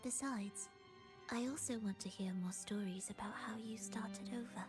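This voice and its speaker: An actress playing a sorrowful, soft-spoken game character hardened by loss, speaking in a measured, solemn and sometimes hushed way. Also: female voice, a little high, natural voice. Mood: tender, wistful. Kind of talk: dramatic game voice acting. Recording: voice under prominent string music. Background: violin music.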